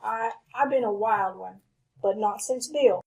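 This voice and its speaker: An actress playing a loyal, anxious outlaw's wife in a radio Western, speaking hesitantly and humbly with an American Western accent. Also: female voice, mid-range voice, measured pace, creaky, a little mellow, poor audio. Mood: anxious, confessing. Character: plainspoken frontier outlaw's wife, anxious, loyal, once wild. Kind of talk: old-time radio Western acting.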